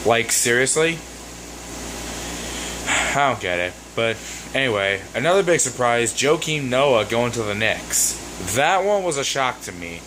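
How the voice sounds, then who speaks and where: A hoarse man vlogging to his viewers, loose and rambling, with frequent ums and uhs. His pitch sits at an ordinary middle level, and his exasperated rants carry a faint hiss of background noise. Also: fairly bright tone, low-quality recording. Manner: rambling, exasperated, with many fillers. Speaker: sports vlogger. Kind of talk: casual ranting vlog.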